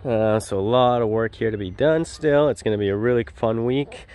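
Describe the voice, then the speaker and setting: Smooth-voiced young man giving a job-site walkthrough. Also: mid-range voice, moderate pace. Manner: relaxed, explanatory, frequent "uh" fillers. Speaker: pond builder. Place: outdoor backyard construction site. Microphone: handheld wide-angle camera at arm's length.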